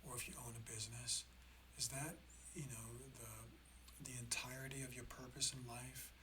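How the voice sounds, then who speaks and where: A slightly hoarse man hosting a reflective spiritual talk, speaking in a halting, deliberate way and often checking in with the listener. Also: mid-range voice, level tone, noisy background. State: slightly hoarse after a throat infection. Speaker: host of a spiritual learning channel.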